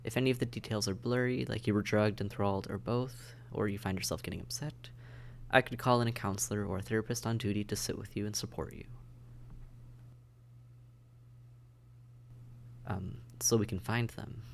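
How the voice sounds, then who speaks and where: A man's voice: lowish voice, fairly even intonation, fast, vocal fry, a little hiss or hum. A man playing a weary vampire intake officer in an audio roleplay, matter-of-fact and bureaucratic, with dry hesitation, speaking straight to the listener. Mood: hesitant, skeptical. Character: weary, businesslike male vampire intake officer mentoring newcomers. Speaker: medical examiner and caseworker.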